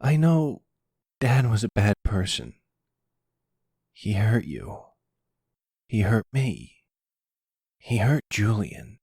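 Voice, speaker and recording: Man acting a halting, boyish, sensitive young son. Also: big pitch swings, vocal fry, a little mellow, slightly rough, dry recording, studio quality, full character voice. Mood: sad, vulnerable. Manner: halting, stammering, higher and more boyish. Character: sensitive, defensive young college-age son, playful with his mother. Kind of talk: ASMR audio roleplay acting.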